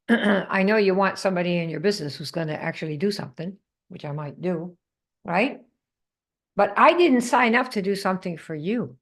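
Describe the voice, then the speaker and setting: A woman from the Netherlands coaching a live group on sales, emphatic and quizzing her audience. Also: fairly low pitch. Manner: emphatic, asks the audience questions.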